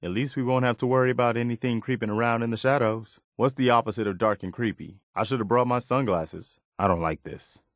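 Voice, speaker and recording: An actor playing an earnest, wary militia man in a post-apocalyptic video game, speaking in a measured, conversational way. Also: medium pitch, dry recording, studio quality, natural voice. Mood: watchful, conversational. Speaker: video game companion character. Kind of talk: game voice acting, short spoken reactions.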